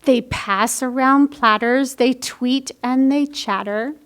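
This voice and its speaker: Woman, high-pitched, unhurried, children's library storytime host, simple careful delivery. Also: fairly bright tone. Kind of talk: children's picture-book reading and craft instruction.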